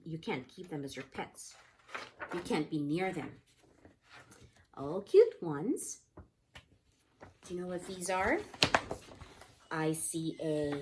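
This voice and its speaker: A woman teaches young children from a picture book. Her voice sits a little low and she takes her time, with a very slow pace. She keeps explanations simple and often puts questions to the children. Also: noisy background. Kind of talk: interactive picture-book read-aloud lesson for young children.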